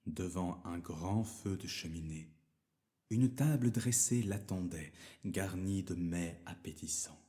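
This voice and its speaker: Guy storyteller reading a kids fairy tale, lowish mellow voice, expressive and theatrical. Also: slow pace. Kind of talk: scripted fairy-tale narration for children.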